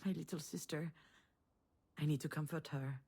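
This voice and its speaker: A woman's voice: sits low, steady tone, normal speed, dark tone, slightly rough. An actress playing an introspective, wounded adult woman, a protective older sister, narrating her thoughts in a confiding, dramatic way. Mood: tender, resolute. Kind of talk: introspective scripted game narration. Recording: music under the voice.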